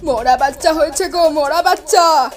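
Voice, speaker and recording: A woman playing an excitable village midwife in a horror cartoon, urgently repeating short phrases. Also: high-pitched, normal speed, lightly acted. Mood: horrified. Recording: music behind the voice.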